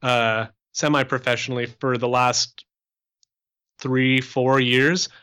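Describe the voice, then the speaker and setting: A man's voice: medium pitch, slow pace, smooth. A young man from Canada speaking as an interview guest, explaining his life as a musician in a conversational, sociable way. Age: young adult. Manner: animated explaining, sociable extrovert.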